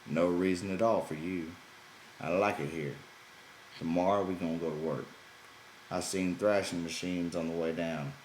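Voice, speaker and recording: Irritable working man, done by a male reader: low, brusque, bossy, rustic dialect, audiobook character in a roomy recording. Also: steady tone, moderate pace, darkish tone, lightly acted. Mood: matter-of-fact. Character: gruff, irritable, bossy male ranch hand. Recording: roomy echo, background noise.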